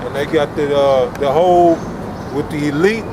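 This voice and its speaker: Male companion to preacher, slightly high voice, casual supporter; noisy street recording. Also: normal speed. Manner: agreeing backchannel, casual. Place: city street outdoors. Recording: street traffic noise.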